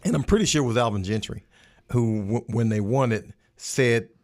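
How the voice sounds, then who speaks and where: A man's voice: medium pitch, normal speed, darkish tone. American guy on a sports podcast, mid voice, super casual and emphatic. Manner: colloquial, emphatic. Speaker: podcast panelist.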